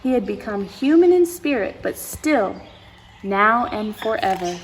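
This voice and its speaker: A woman's voice: mid-range voice, animated, a little slow, rough recording. A woman reading a storybook aloud to young children as a story time host, expressively, with exclamations and asides to her listeners. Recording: clear background noise.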